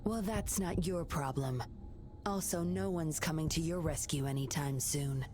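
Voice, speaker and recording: Low, cool, taunting woman operative. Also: unhurried, warm tone, faint music behind. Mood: controlled menace. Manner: taunting, controlled, menacing. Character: cool, sly, commanding female undercover operative. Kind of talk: video game voice acting.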